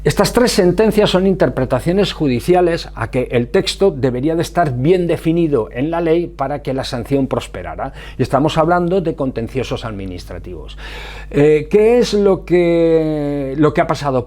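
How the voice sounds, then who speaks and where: A man's voice: fairly high pitch, animated, normal speed, darkish tone. A man with an accent from Spain explaining things informally to viewers, didactic and emphatic, often answering his own questions. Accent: Spanish from Spain. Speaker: motorcycle safety vlogger.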